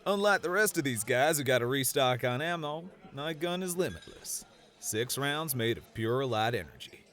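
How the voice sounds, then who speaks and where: An actor voicing a cocky, easygoing fantasy gunslinger with a Western American accent, drawling and teasing in slang. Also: male voice, mid-range voice, expressive, moderate pace, fairly bright tone, lightly acted. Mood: cocky, challenging.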